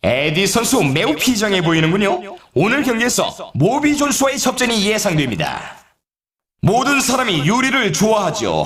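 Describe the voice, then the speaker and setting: Showy snowboard race announcer, video game style, upbeat, swooping intonation, distant from the mic. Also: male voice, sits high, a bit bright. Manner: dramatic, showy announcer delivery. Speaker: sports announcer. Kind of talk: sports commentary, video game voice acting. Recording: echoey, distant sound.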